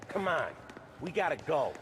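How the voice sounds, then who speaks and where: An actor playing an urgent, streetwise gangster in a video game action scene. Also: male voice, on the high side, sing-song, normal speed, very noisy. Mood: tense. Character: urgent, streetwise American gangster under fire.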